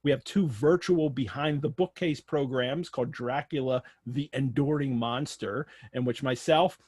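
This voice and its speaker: A man's voice: medium pitch, moderate pace, a little mellow, slightly rough, no room sound. An American man hosting a live online show, chatting through announcements and questions in a conversational way. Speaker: host of an online literary show. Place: remote online video call.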